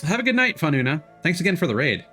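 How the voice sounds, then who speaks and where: A man doing casual, chatty live game commentary, quick to react out loud. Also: on the high side, sing-song, faint music behind. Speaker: game livestreamer, host.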